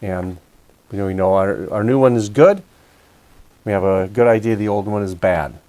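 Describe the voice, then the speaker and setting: A man's voice: fairly low pitch, moderate pace, warm tone, rough recording. A man giving a casual hands-on repair walkthrough, explaining things methodically, step by step. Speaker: repair video host.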